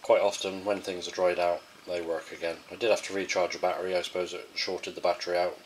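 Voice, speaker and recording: A man's voice: low-pitched, level tone, fast, slight background noise, poor audio. A man presenting a casual gadget field test in a hesitant, off-the-cuff way.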